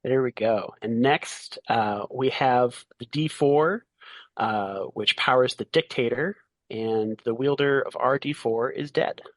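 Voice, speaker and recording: A man's voice: mid-range voice, takes it slowly, no room sound, rough recording. Casual male game master, dry close sound. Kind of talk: casual tabletop game narration.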